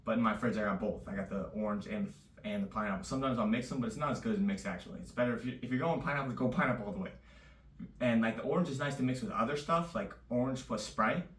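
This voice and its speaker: A man chatting casually in a loose, rambling vlog, his voice a little raspy. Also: fairly low pitch, fast, fairly bright tone. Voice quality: slightly raspy. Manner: loose and rambling, full of fillers. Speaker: vlogger. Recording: echoey room, distant mic.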